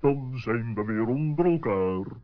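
A wise old-fashioned storybook bird acted by a man with a middling pitch. He talks slowly in wordy, pompous phrases. The radio play recording is dull. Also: animated, close to an everyday voice. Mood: dry, resigned. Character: ponderous, wordy wise male forest bird. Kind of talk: 1960s children's radio play acting.

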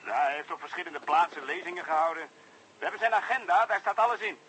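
A man's voice: high voice, quick pace, a bit bright, husky, full character voice. An actor playing an eager, plain-spoken junior policeman reporting over the telephone in a radio play. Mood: dutiful reporting. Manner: matter-of-fact reporting, slightly folksy.

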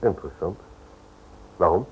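Husky, brusque male lawyer, muffled recording. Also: low-pitched, moderate pace. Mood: dismissive. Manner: curt, sceptical, dismissive. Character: brusque, cynical male lawyer with wealthy clients. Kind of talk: Dutch radio drama acting. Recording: muffled, lo-fi old radio recording.

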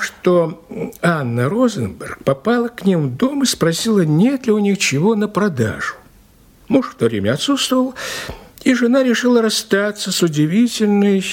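An actor in an audio play as a respectful police inspector, recounting a case in an emphatic, dramatic way with a slightly husky voice. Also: male voice, on the high side. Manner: animated, respectful, emphatic storyteller.

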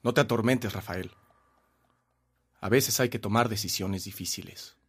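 An actor playing a stern, domineering older brother who owns a farm, curt and authoritative, in a radio drama. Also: male voice, on the low side, normal speed, slightly rough. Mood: smug, dismissive.

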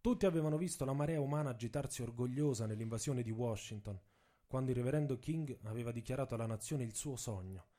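A man reads a scripted dramatic story for radio theatre in measured, deliberate phrases with dramatic pauses. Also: mid-range voice, warm tone. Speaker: author and narrator. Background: music, saxophone and drums.

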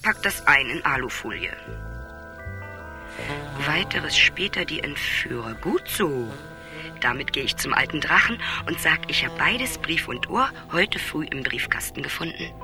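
An actress playing a scheming, greedy woman who bosses and coaxes her lover in hushed, breathy tones, in a radio crime drama. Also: sits low, animated, a little slow, over music. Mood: bossy, conspiratorial. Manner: bossy, coaxing, hushed and breathy in places.

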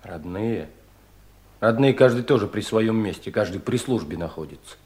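An actor playing a gloomy, indifferent manservant in a 1970s Russian radio play, stolid and deferential, with echo around the voice. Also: male voice, on the low side, lively intonation, normal speed, a little mellow, lightly acted. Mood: sullen, indifferent. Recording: roomy, echoing studio sound.

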